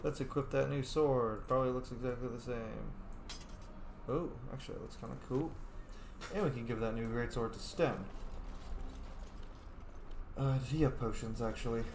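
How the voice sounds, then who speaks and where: A man's voice: medium pitch, moderate pace, pauses often, a little mellow. A tired man casually commenting as he plays a video game, muttering to himself. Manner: mumbling, talking to himself while playing. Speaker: game streamer. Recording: roomy with clear background noise.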